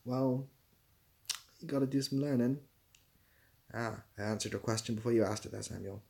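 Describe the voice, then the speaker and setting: A man's voice: mid-range voice, steady tone, normal speed, gravelly, mellow. A young man hosting a casual livestream, reading out comments and giving dry, self-deprecating, rambling answers. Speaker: livestream host.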